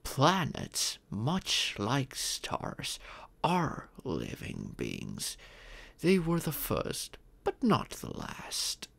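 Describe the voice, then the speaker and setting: Man acting a slow, gravelly ancient dragon father. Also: medium pitch, wildly swooping pitch, a bit bright, in a whisper, full character voice. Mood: storytelling, measured. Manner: formal, patient, fond, instructive. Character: ancient immortal male dragon, former king, doting stern father. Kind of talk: ASMR roleplay, scripted monologue.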